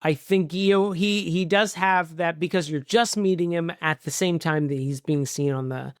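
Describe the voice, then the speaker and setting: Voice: mid-range voice, normal speed, dry recording. Podcast co-host chatting casually, dry close sound. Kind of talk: casual movie discussion chat.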